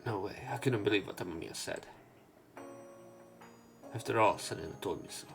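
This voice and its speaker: A man's voice: fairly low pitch, normal speed, vocal fry, soft background music. A man hosting a let's play, reading a visual novel's narration and dialogue aloud.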